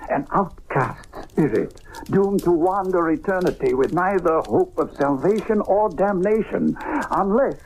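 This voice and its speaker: An actor playing a grave occult doctor in an old-time radio mystery, speaking formally and theatrically with a husky voice. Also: mid-range voice, animated, darkish tone, a little hiss or hum, poor audio. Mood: ominous. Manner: formal, measured, ominous, theatrical delivery. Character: grave occult doctor explaining and exorcising a malevolent spirit.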